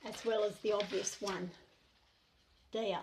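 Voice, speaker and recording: A middle-aged Australian woman speaking to the camera in an earnest, deliberate way, in short measured phrases. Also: mid-range voice, fairly even intonation, creaky, noisy background. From New South Wales, Australia. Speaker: self-represented complainant. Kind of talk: monologue to camera.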